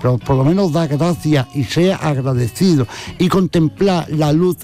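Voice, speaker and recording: A man's voice: a little high, moderate pace, mellow, soft background music. A man with an Andalusian accent chatting affectionately and philosophically on the radio, folksy, repeating himself and tagging phrases with questions. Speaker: regular radio guest. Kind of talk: casual philosophical radio chat.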